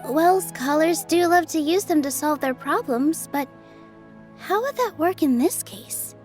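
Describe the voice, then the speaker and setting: Soft shy young dancer girl, high sweet voice, kinda unsure of herself, game music behind. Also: lively intonation, a little slow. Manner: soft-spoken and self-doubting. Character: gentle, shy young female dancer, earnest and kind. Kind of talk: video game voice acting.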